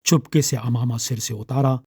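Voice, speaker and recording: A man narrating a scripted literary story in a measured, dramatic storytelling manner. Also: mid-range voice, fairly even intonation, warm tone, slightly rough, dry recording, clean studio sound.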